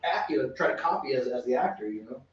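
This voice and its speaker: A man's voice: medium pitch, animated, fast talker, recorded from a distance. American man, West Texas origin, casual anecdotal chat, home brewer co-host, very echoey, dull lo-fi sound. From West Texas, United States. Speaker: home brewer co-hosting a brew day.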